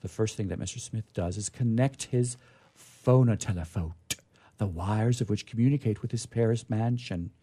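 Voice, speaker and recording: A man giving a dramatic reading of vintage science fiction, theatrical and emphatic, with drawn-out phrases and pauses. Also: on the low side, warm tone. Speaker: reader performing a literary reading.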